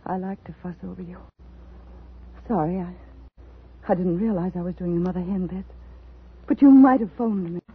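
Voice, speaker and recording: Through a tinny, noisy old radio recording comes an actress as an anxious middle-aged wife. She is clinging and possessive, delivered with the intense drama of 1950s radio acting at a medium pitch. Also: moderate pace, pauses often, natural voice. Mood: worried, fussing. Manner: dramatic, intense delivery, swinging from hushed narration to pleading.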